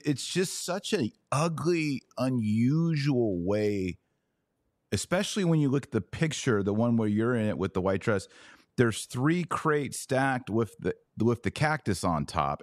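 A man's voice: mid-range voice, no room sound, studio quality. A man hosting a podcast, chatting casually and putting questions to a guest. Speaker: podcast host. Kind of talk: casual interview conversation.

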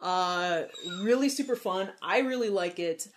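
A woman's voice: medium pitch, unhurried, background noise. A woman hosting a casual film podcast, chatty and playful. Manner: chatty and playful, hums tunes. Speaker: podcast host.

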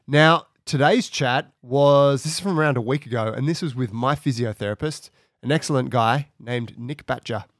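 A man with a raspy voice from a cold, speaking conversationally as he introduces his podcast from home. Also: medium pitch, moderate pace, a bit bright, dry recording, studio quality. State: has a cold. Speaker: podcast host.

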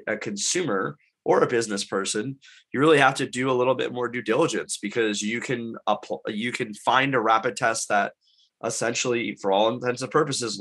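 A man's voice: mid-range voice, sharp tone. A man who is congested from illness, talking through a panel discussion in a rambling way, with many fillers and restarts. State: congested, getting over illness. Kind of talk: conversational panel talk.

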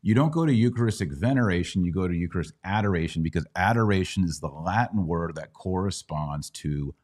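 Casting: American male podcast host, middle-aged, deep register, conversational religious teacher who checks the listener follows. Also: lively intonation, fairly quick, a little mellow. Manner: didactic, checks in with "right?". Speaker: podcast host answering Bible questions. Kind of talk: casual explanatory religious teaching.

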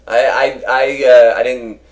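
A man with a deep voice talking in a rambling, casual podcast chat, swearing freely and filling gaps with "uh" and "like". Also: flat delivery, measured pace. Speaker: guest.

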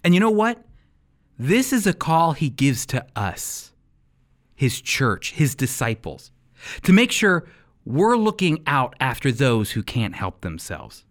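A man hosting and teaching on a radio Bible program, speaking in an earnest, emphatic, sermon-like way. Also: mid-range voice.

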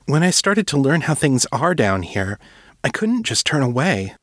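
A man's voice: medium pitch, big pitch swings, moderate pace, fairly bright tone, no echo, clean studio sound, pitched above the performer's own voice. A man voicing an earnest, humble young male writer who speaks hesitantly and sincerely in an audiobook.

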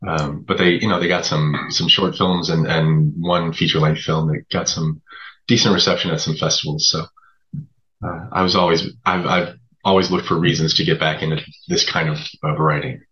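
A man speaking as a guest writer in an interview, conversational and a little hesitant, pausing often. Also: very deep voice, little pitch movement, sharp tone, lo-fi sound. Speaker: guest writer of audio drama.

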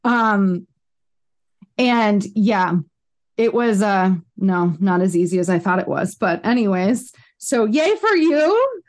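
A woman's voice: mid-range voice, animated, takes it slowly, darkish tone. An American woman in her thirties hosting a podcast interview, chatting casually with rambling side notes and frequent laughs. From United States. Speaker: podcast host.

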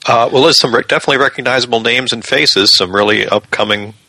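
A man's voice: medium pitch, quick pace, bright, forceful tone, no echo, poor audio. A man co-hosting a podcast, explaining things in a casual, conversational style. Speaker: podcast co-host.

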